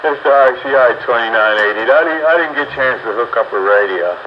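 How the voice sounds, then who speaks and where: A man's voice: animated, fast talker. A man who is a radio operator, chatting casually over the air. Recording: dull radio transmission lacking highs, clear noise.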